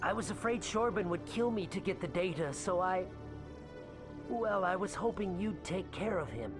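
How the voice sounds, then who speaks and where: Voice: mid-range voice, animated, moderate pace, close to an everyday voice. An actor playing a nervous, evasive character who stammers and turns defensive. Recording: game scene with music.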